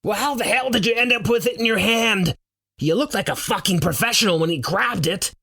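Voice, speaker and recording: A man acting a panicky high-pitched Norwegian guy. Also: a little fast, vocal fry, bright, forceful tone, exaggerated voice. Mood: urgent shouting. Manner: panicky, urgent exclamations.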